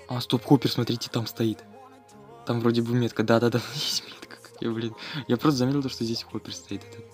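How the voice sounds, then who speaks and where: A man's voice: mid-range voice, little pitch movement, fairly quick, a little mellow, faint music behind. A man giving casual, slangy running commentary on his gameplay for viewers, as host of a gaming video.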